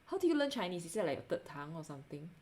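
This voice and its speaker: Lower-pitched Singaporean woman chatting casually. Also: very animated, a little mellow. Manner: self-deprecating, giggly, halting. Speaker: art livestreamer. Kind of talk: casual livestream chat while drawing.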